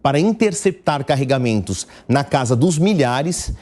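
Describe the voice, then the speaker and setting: A man's voice: mid-range voice, expressive, moderate pace, a little mellow. A man with a Brazilian accent explaining the news live on television in a didactic, step-by-step way, stressing key words. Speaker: news commentator.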